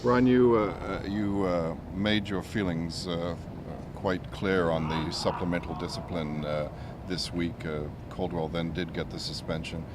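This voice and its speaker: A man, a reporter, asking a coach questions at an outdoor scrum, halting over fillers. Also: sits low, steady tone. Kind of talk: press questions. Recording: noisy outdoor press scrum.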